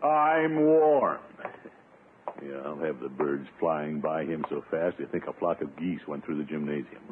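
An actor playing a blustering, jealous middle-aged bachelor in a 1950s radio sitcom, pompous and grumbling with theatrical exasperation. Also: male voice, rumbling low, lively intonation, a little slow. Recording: tinny, noisy 1950s radio recording.

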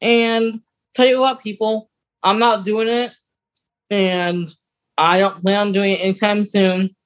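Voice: mid-range voice, flat delivery, measured pace. A podcast co-host chatting casually about fan news in a wry, conversational way.

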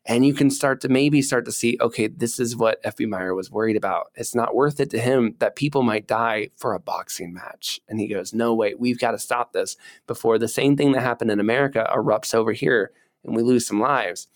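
A man with a mid-pitched voice co-hosts a history podcast. He tells stories in a casual, colloquial way, slipping into imagined dialogue as he explains. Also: brisk. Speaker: podcast co-host.

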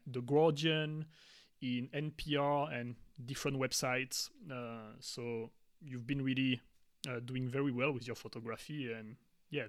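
A man co-hosting a podcast, asking casual questions in a chatty way with soft laughs and hesitant fillers. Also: medium pitch. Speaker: podcast co-host.

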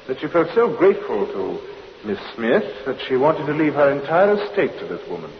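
Man acting stiff gent on the witness stand, lively pitch swings, boomy hall, old radio. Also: normal speed, natural voice. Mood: stiffly resentful. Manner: stiff, precise, formal. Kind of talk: 1950s radio courtroom drama acting. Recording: reverberant, muffled, hissy old radio recording.

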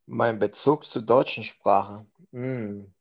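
A man's voice: lowish voice, steady tone, takes it slowly, vocal fry. A man whose first language is Spanish, a podcast guest chatting loosely in German, with lots of fillers. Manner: loose, filler-heavy, says "weißt du" often. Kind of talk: casual interview chat. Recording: tinny phone-like line.

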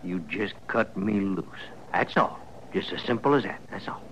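An actor playing a sly, folksy outlaw prisoner in a radio western, coaxing and menacing in a rural American accent. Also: male voice, sits low, expressive, moderate pace, a bit bright. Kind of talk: old-time radio western acting. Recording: noisy vintage radio recording.

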